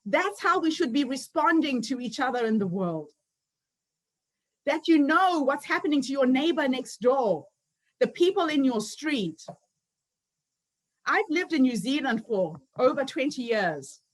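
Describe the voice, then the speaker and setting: High-voiced woman in her fifties. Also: animated, a little slow, poor audio. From Zimbabwe. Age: 50s. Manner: earnest personal storytelling. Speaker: summit founder and speaker. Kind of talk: motivational speech.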